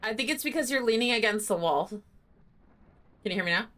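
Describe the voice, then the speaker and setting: A woman game streamer chatting and reacting casually, excitable and sweary. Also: on the high side, fairly quick.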